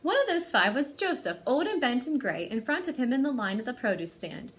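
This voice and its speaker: A woman hosting a library storytime, speaking to young children in an animated way as she presents and reads a picture book aloud. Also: sits high, brisk.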